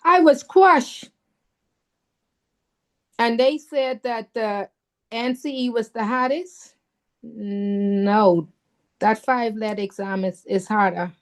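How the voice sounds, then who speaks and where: A woman whose first language is not English, telling a story with animated emphasis as a newly licensed counselor on a call. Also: a little high, slow, long pauses. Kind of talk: casual chat on a video call.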